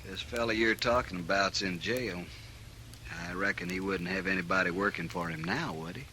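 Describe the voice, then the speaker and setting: A man's voice: low voice, moderate pace, background noise, close to an everyday voice. An American man acting an evasive, sullen hired gunman with a guarded drawl in a 1950s radio western. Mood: sullen, backing down. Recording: vintage radio recording.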